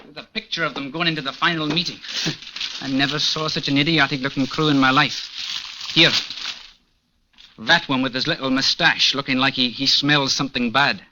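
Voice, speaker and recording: Casting: loyal, talkative local, crisp forward tone, old radio drama with faint noise. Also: male voice, mid-range voice, fairly even intonation, moderate pace, bright, forceful tone. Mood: amused scorn. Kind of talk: old-time radio acting. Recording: noisy old radio recording.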